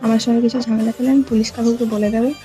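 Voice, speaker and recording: Teasing, quick-talking West Bengal woman. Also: a little high, flat delivery, over music. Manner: teasing, coy, evasive. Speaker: prank caller. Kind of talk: casual phone chat, playful prank. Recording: clearly noisy background.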